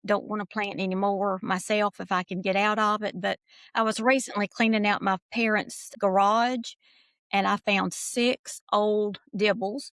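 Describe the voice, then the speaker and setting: A woman's voice: mid-range voice, flat delivery, unhurried, fairly bright tone, no echo. Folksy Southern woman telling stories. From Georgia, US. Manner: folksy storytelling. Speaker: podcast guest, nursery researcher. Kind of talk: casual chat.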